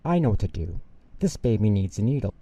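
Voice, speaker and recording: A man voicing a brisk, self-assured female doctor in a storybook, clipped and decisive. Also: fairly low pitch, sing-song, warm tone, husky, no echo.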